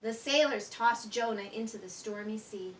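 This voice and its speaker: A woman's voice: fairly high pitch, normal speed, reverberant. A woman reading a children's story aloud expressively, with sound effects and questions to her listeners. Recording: distant microphone with faint background noise.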